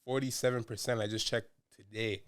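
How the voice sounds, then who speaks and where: A man's voice: medium pitch, level tone, brisk, smooth. A young Canadian man co-hosting a casual podcast, laid-back and agreeable, with loose slang. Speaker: podcast co-host. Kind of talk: casual chat.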